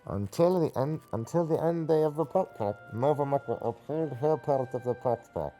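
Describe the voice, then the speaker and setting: A man's voice: mid-range voice, expressive, normal speed, mellow, pitched above the performer's own voice, natural voice, low-quality recording. Man as loyal female game creature, muted timbre, quaint third-person speech, faint music behind. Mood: dutiful. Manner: speaks of herself in third person, quaint phrasing. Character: devoted, stubborn female moblin helper creature. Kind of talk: video game dialogue read aloud in character.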